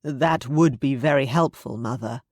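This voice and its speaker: A woman's voice: a bass voice, measured pace, a little mellow, dry recording, studio quality. A woman voicing an earnest, restrained aristocratic man, a former army officer, in an audiobook story. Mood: sincere. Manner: sincere, restrained.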